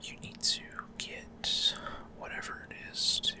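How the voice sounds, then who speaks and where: A person whispering unscripted ASMR commentary over a video game, explaining things in a hesitant way. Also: measured pace.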